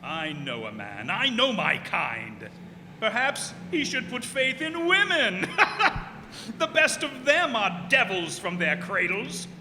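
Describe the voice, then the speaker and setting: A man's voice: high-pitched, very animated, slow pace, bright, forceful tone, heavily acted. A man playing a proud, mad, tyrannical sea captain who defies heaven in grand archaic declamation, in old-time radio drama style. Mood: scornful. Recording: hall reverb, faint background noise.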